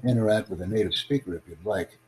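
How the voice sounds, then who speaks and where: A man hosting a livestream podcast, addressing callers in a deliberate, instructive way. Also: fairly low pitch. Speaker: podcast host. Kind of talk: livestream chat with online callers. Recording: clearly noisy, humming background.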